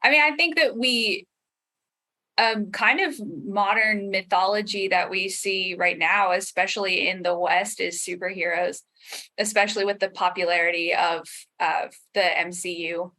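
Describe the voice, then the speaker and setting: A woman's voice: mid-range voice, steady tone, moderate pace, sharp tone. A young woman chatting casually as a panel guest, explaining her points like a teacher.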